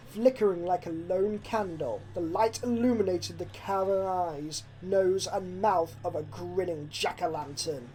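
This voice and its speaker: A woman reading a horror story aloud as dramatic, expressive narration with a suspenseful delivery. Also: on the low side, rough recording. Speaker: fan fiction narrator. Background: background music.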